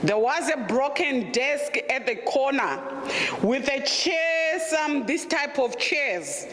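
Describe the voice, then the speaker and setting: A middle-aged woman from Zimbabwe with a Zimbabwean accent and a deep voice, telling her own story as a guest presenter in a candid, humorous and emphatic way. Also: expressive, takes it slowly, fairly bright tone. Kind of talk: personal storytelling talk. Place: lecture room. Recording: echoey room with long reverb.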